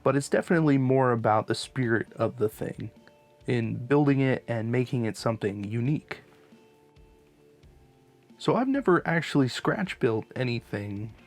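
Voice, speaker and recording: A man's voice: mid-range voice, animated, moderate pace, soft background music. A man hosting a hobby channel, giving a casual, informal voice-over tutorial in a laid-back manner. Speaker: host of a miniature hobby channel.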